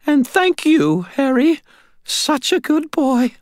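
A man's voice: extremely high pitch, very animated, takes it slowly, no echo, studio quality, voice pushed up, full character voice. A man voicing an elderly landlady in an audiobook, a frightened, devout and grateful woman with a quavering voice and fervent exclamations. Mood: grateful, tender.

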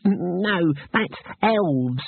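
A man voicing a small, cautious, knowing gnome who talks slowly and carefully. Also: high-pitched, expressive, vocal fry, dry recording, narrow, tinny audio, pitched above the performer's own voice, heavily acted. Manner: slow, careful.

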